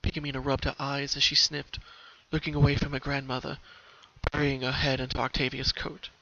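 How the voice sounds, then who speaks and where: A man's voice: mid-range voice, level tone, brisk, creaky, pushed and bright. A man reading a story aloud as narrator, in a dramatic style. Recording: dull, muffled home recording.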